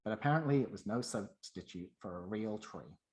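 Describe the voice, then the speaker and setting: A man's voice: on the low side, expressive, fairly quick, a little mellow. A man presenting a scripted talk and narrating a story.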